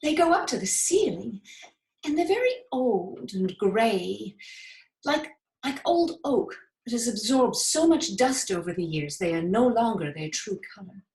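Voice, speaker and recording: A woman's voice: mid-range voice, creaky. Woman acting as effusive 1950s stage actress, theatrical letter monologue, emphatic, savouring delivery, echoey room. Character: gushing 1950s American actress writing to her dearest friend. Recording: roomy, echoing sound.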